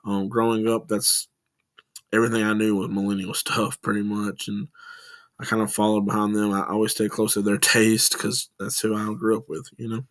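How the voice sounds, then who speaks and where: A man's voice: on the low side, flat delivery, normal speed, no room sound. A young man from West Tennessee with a Southern twang, rambling informally through a class project with plenty of "um"s and restarts. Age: young adult. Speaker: student presenting a class project. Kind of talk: casual recorded class presentation.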